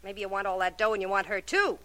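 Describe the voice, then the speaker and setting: An actress playing a tough, jealous, scheming dance partner, sarcastic and slangy, in a 1940s radio drama. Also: female voice, mid-range voice, fast, fairly bright tone, lightly acted. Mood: jealous, threatening.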